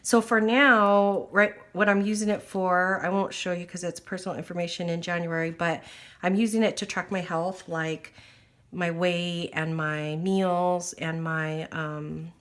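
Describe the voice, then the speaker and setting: A woman's voice: fairly low pitch, steady tone, measured pace, fairly bright tone. A woman chatting casually about her planner while recovering from an illness, her voice slightly wheezy, with lots of fillers. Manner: chatty, filler-heavy, apologetic. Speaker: planner vlogger. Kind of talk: casual chat. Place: at a table indoors.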